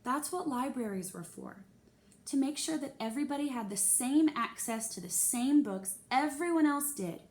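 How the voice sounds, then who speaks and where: A woman's voice: sits high, expressive, moderate pace, darkish tone. A woman reading a children's novel aloud expressively, narrating in the first person. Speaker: storybook reader.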